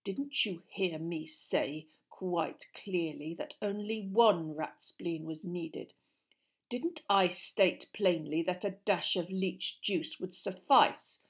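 A woman voicing a cold, bullying male potions teacher in an audiobook, with a slow, scornful, drawn-out delivery. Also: fairly low pitch, breathy edge, heavily acted.

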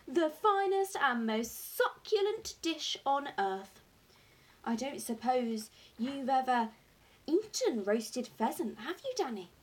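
A woman voicing a jubilant, boastful father in a storybook, excited and grandly emphatic as key words are drawn out. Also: on the high side, unhurried, slightly rough, pitched above the performer's own voice, exaggerated voice. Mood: gleeful, boastful. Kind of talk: acted audiobook dialogue.

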